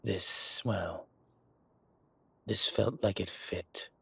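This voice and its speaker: A man playing an arrogant, luxury-loving dragon mob boss in an intimate roleplay audio, speaking in a deliberate, smooth-talking way with a rough voice. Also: low-pitched, bright, forceful tone, no room sound, exaggerated voice. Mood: smug, teasing. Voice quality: husky, rough. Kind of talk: intimate scripted roleplay audio, one-sided conversation.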